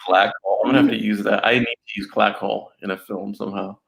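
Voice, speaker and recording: A man's voice: on the low side, level tone, fast, gravelly, fairly bright tone, poor audio. A man chatting as a guest in a casual interview, joking and telling stories with frequent laughter. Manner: joking, storytelling, laughs often. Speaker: guest animator.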